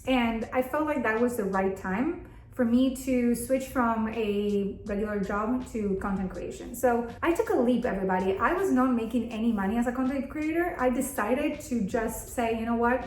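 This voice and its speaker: High-voiced woman vlogging in an echoey room. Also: normal speed, darkish tone. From Argentina. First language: Spanish. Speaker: content creator and video host. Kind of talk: casual chatty vlog. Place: bathroom at home, indoors.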